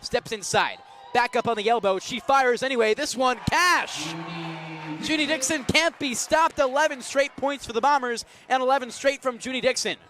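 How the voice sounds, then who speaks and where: A young man with a high voice works as a radio play-by-play announcer at a live basketball game. He follows the action in an energetic, descriptive style from inside a college arena. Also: normal speed, slight background noise. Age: young adult.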